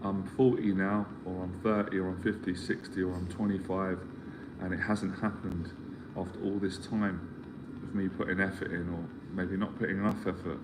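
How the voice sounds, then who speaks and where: A man's voice: sits low, flat delivery, normal speed. A middle-aged man giving a motivational talk as a life mentor, earnest and rambling, often repeating himself as he searches for words. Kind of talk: motivational live stream talk. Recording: clear background ambience noise.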